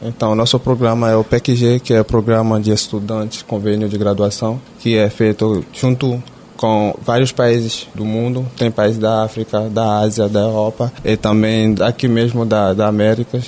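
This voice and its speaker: A man's voice: on the low side, little pitch movement, normal speed, a little mellow. A young man from Benin talking casually as a guest in a radio interview. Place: radio studio. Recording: faint background noise.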